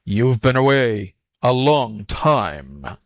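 A savage tiger from a storybook, as a male reader acts him out. He is gruff and domineering, scornful of fools and short of patience, with emphatic swings in pitch. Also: very animated, very slow, dry recording, exaggerated voice. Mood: menacing. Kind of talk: storybook character voice.

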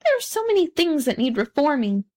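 A woman's voice: sits high, very animated, brisk, a little mellow, voice pushed up. A woman voicing a placid, practical female duck from a storybook, with a peaceable, matter-of-fact manner. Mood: chatty, domestic. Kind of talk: storybook character reading.

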